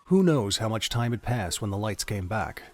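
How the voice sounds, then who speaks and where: A male narrator with a low-pitched voice. He delivers scripted, dramatic prose for an audio drama, told like an audiobook. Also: animated, normal speed, darkish tone, dry recording.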